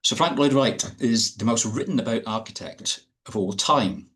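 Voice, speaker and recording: A middle-aged man, an architect, giving a talk over a video call, measured and a little hesitant before reading from a prepared text. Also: mid-range voice, fairly bright tone. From Wales.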